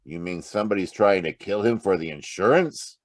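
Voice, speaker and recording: A man playing a puzzled, slightly slow-witted homicide lieutenant in an old-time radio detective drama. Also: sits low, lively intonation, no echo, studio quality.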